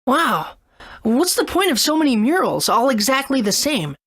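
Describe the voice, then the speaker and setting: A woman voicing an earnest, excitable young boy adventurer in a video game. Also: high voice, moderate pace, breathy edge, exaggerated voice. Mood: puzzled.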